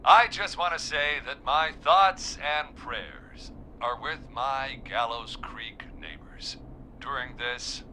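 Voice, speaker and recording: Slow, pompous small-town politician. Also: male voice, medium pitch, sharp tone, a little hiss or hum, heavily acted, rough recording. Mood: pompous, solemn. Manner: slow, pompous, rehearsed speechifying. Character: smug, pompous small-town politician, heir of founding family. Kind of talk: video game voice acting.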